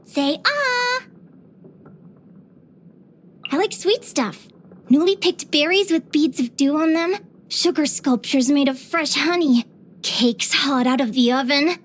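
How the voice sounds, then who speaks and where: A young female adventurer from a fantasy video game. Her voice sits very high and girlish. She speaks with earnest, expressive wonder, like a cheerful naturalist who is curious about the whole world. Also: slow, long pauses, a little hiss or hum. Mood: eager, animated, then bright, sweet. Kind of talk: video game character voice lines.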